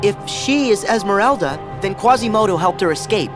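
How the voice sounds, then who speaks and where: A man playing a plucky, earnest teenage boy hero in a video game, eager, expressive and casual. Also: high-pitched, a little fast. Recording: long reverb, loud game music.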